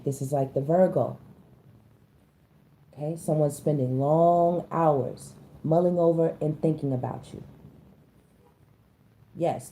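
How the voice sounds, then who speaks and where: A hoarse woman giving a casual tarot reading, chatting to her viewers and often stopping to clear her throat. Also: low-pitched, mellow, slight background noise, poor audio. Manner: chatty, addresses listeners as y'all, stops to clear her throat. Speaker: tarot reader.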